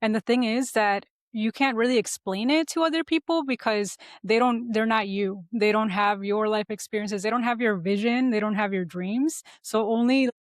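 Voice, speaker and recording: A woman's voice: on the high side, normal speed, hardly any pauses, dry recording, clean studio sound. A woman of thirty-nine talking casually and reflectively as a life coach guest in an interview.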